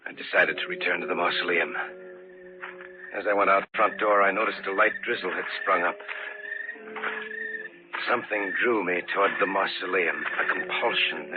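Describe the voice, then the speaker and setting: A very low-voiced male actor playing a haunted man narrates a vintage radio mystery. His delivery is deliberate and uneasy, building suspense. The old recording is muffled, with music clearly present. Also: lightly acted. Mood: uneasy, suspenseful. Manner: slow, deliberate, suspenseful storytelling.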